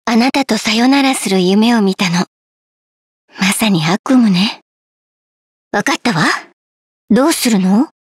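Lively-pitched dreamy dragon woman. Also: fairly quick, long pauses, breathy edge, dry recording, clean studio sound. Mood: wistful, tender. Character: soft, dreamy adult dragon woman in fairy dress. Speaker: voice actor. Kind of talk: scripted Japanese game character voice clips.

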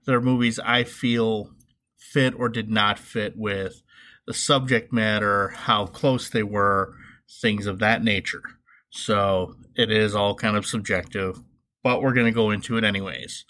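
A man's voice: fairly low pitch, steady tone, measured pace, sharp tone. A man hosting a podcast solo, giving casual, wry commentary with an informal, joking manner. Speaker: podcast host.

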